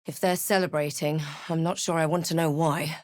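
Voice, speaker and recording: A woman playing a wry, wary spellcasting adventurer in a video game, speaking in a measured, dramatic and dry manner. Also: sits low, fairly even intonation, no room sound, natural voice. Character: snarky adventurer heroine, wary, wry, spellcasting fantasy protagonist. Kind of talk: video game voice lines, remarks and first-person narration.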